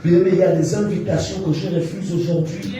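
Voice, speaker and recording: Emphatic preacher in an echoey hall. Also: male voice, sits high, fairly even intonation, fairly quick, background noise. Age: 50s. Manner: emphatic, repeats phrases for the congregation to echo. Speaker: pastor. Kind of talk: call-and-response sermon. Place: church hall. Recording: echoey church hall, distant mic.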